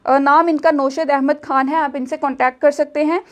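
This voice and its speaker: A woman's voice: sits high, steady tone, normal speed. A woman presenting an informal news update, explaining public information directly to viewers in a repetitive, explanatory way.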